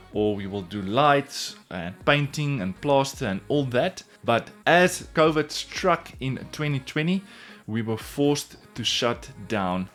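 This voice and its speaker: A man whose first language is Afrikaans, speaking English with an Afrikaans accent, earnestly telling his own story straight to the camera in a casual vlog. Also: medium pitch. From South Africa. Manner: earnest, repeats "in all honesty". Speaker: woodworking YouTuber.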